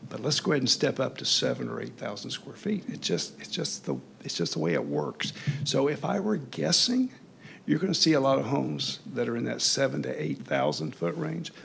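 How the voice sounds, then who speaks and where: A man presenting a housing development to a city council in a confident, folksy, persuasive way, clearing his throat as he starts to lose his voice. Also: mid-range voice, fairly bright tone, a little hiss or hum. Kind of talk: public meeting presentation.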